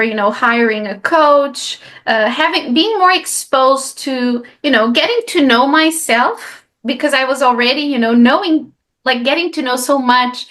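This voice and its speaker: A woman's voice: fairly high pitch, a bit bright. A woman from Portugal, a native Portuguese speaker, talking as a guest in a casual interview. She leans on fillers and often tags her sentences with "right?". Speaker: guest, life coach.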